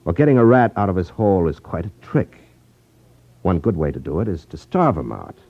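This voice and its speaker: Detective lead, male: deep, animated delivery, wry confidence, vintage radio drama with dulled sound. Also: a little fast, slightly rough, no room sound, heavily acted. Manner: wry, self-assured, explanatory. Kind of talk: 1950s radio drama acting. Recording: muffled 1950s radio recording.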